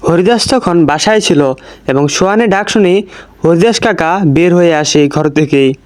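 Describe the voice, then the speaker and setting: A man's voice: a little high, normal speed, no room sound. A man narrating a scripted village story for a cartoon as its storyteller.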